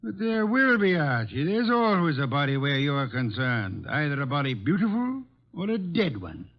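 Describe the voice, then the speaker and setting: An actor playing a pompous, grumpy older genius detective with a dry manner, filtered as if by telephone in a radio drama. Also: male voice, on the high side, big pitch swings, normal speed. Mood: dry, resigned. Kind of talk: old-time radio detective drama acting. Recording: dull, lo-fi old radio phone voice.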